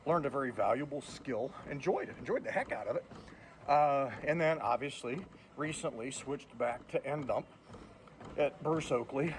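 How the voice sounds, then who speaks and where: A man in his fifties talks straight to his viewers in a blunt, plainspoken way. He chats casually while walking on a treadmill. A faint hum sits behind his voice. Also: mid-range voice. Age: 50s. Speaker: truck driver and vlogger. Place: indoors on a treadmill.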